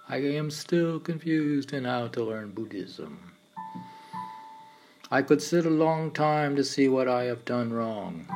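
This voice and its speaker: A man reading his own meditative poems in a halting way, now and then repeating or stumbling over words. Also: mid-range voice, darkish tone. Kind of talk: slow meditative poetry reading. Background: piano and keyboard music.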